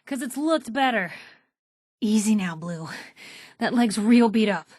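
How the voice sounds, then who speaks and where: A woman's voice: medium pitch, expressive, a little slow, darkish tone, dry recording, clean studio sound. An actress playing a plucky young woman newspaper reporter in a video game, with a wry, teasing, conversational way of talking. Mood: watchful. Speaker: companion character voice actor.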